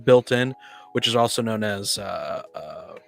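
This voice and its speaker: A man in a casual podcast discussion, explaining his thinking in a halting, explanatory way. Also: lowish voice, expressive, creaky, soft background music. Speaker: podcast speaker on tabletop gaming.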